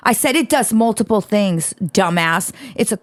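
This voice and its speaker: A woman's voice: medium pitch, expressive, normal speed, dry recording. A woman co-hosting a podcast, chatting casually and bluntly, swearing freely as she tells stories. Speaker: podcast co-host. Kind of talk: casual chat.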